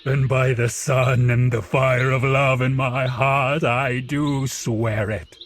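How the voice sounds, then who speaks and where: An actor playing an anguished, vengeful, eloquent monster in an audio drama, speaking in solemn, grandiose oratory. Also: male voice, mid-range voice, flat delivery, slow pace, natural voice. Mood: solemn, resolute. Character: anguished, vengeful, eloquent male monster pleading with his maker.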